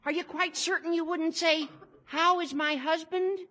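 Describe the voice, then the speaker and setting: A performer playing an eager, bouncy teenage schoolboy in a 1950s radio sitcom, chattering earnestly and excitably. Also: very high voice, normal speed, very noisy.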